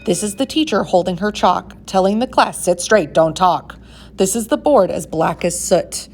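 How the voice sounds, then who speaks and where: A woman reading a rhyming picture book aloud to schoolchildren in a measured, singsong way with dramatic emphasis. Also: medium pitch, animated. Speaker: storybook reader for schoolchildren.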